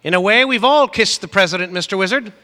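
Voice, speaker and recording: An actor playing a smug, petulant, spoiled rich mogul with a glib, whiny manner in comic radio theatre. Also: male voice, sits high, fast talker, bright, forceful tone. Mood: smarmy. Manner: glib, whiny, self-satisfied. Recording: hall reverb, live stage.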